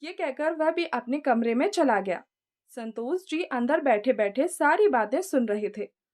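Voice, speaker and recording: A woman's voice: sits high, expressive, measured pace, a little mellow. A woman storyteller narrating a scripted moral tale.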